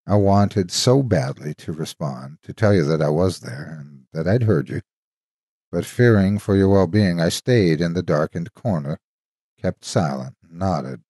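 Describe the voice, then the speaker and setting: Deep, husky male horror storyteller. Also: dry recording, clean studio sound. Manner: measured, expressive storytelling. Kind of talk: scripted dramatic story narration.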